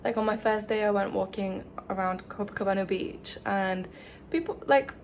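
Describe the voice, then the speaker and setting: A young woman from England with an English accent, chatting casually to camera as a travel vlogger, full of "like" and "um" and quick laughs. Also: medium pitch, a little hiss or hum. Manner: chatty, lots of "like" and "um", laughs often. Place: studio flat.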